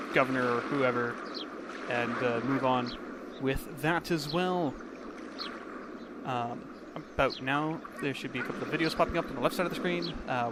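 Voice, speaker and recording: A man from Canada chats casually over a video game as the channel host. His voice is mid-pitched, with clear game water noise behind it. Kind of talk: casual let's play commentary. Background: video game sound.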